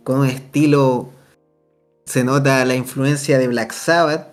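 A man's voice: mid-range voice, steady tone, a little slow. A young man with a Chilean Spanish accent chatting casually about music as a podcast co-host, enthusiastic and full of slang and fillers.

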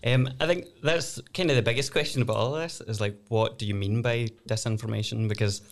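A man's voice: mid-range voice, a little fast, vocal fry. A man from Glasgow with a Glaswegian accent, a lawyer guest explaining things in casual chat. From Glasgow, Scotland.

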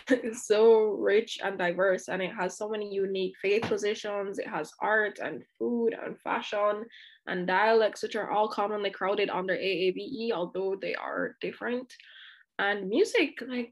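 A woman's voice: mid-range voice, poor audio. A woman from Jamaica, speaking as a student guest in an earnest, outspoken way with frequent asides. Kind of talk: candid conversational discussion.

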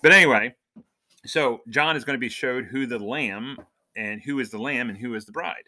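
Bright, forceful man teaching the Bible informally. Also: medium pitch, normal speed. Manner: conversational, explanatory, asks questions and answers them. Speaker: podcast host giving Bible teaching. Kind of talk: informal Bible lesson with scripture reading.

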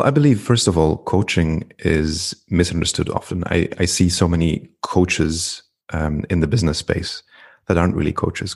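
Low gravelly man. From Belgium (Flanders). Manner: reflective, unhurried, frequent ums. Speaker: guest, entrepreneur and coach. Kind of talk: podcast interview conversation.

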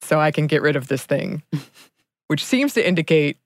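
A woman's voice: low-pitched, animated, normal speed, dry recording, clean studio sound. A young woman co-hosting a book podcast, talking earnestly in a casual chat and laughing often between thoughts. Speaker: podcast co-host.

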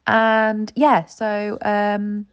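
A woman's voice: medium pitch, completely flat delivery, very slow, smooth, muffled recording. A woman recording a rambling, sleepy late-night voice note from bed, full of ums and restarts. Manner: chatty, many ums and restarts. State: sleepy, yawning. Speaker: co-host sending a voice note.

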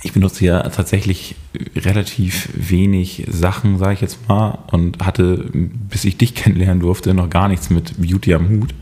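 A young man with a very deep voice answers quick questions on a podcast. His words come out a bit unclear after an operation. He stays relaxed and easygoing throughout. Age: young adult. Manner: easygoing, laughs between answers. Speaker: podcast guest.

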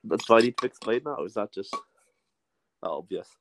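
A man's voice: on the low side, expressive, normal speed, noisy background. A young man with a Welsh accent, a guest chatting casually on a podcast, self-deprecating and hesitant, often restarting his sentences. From Wales.

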